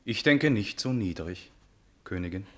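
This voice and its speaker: An actor playing a proud, honourable young prince in a classical verse radio tragedy, with formal, noble declamation. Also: male voice, lowish voice, lively intonation, a little slow, a bit bright. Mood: restrained, magnanimous.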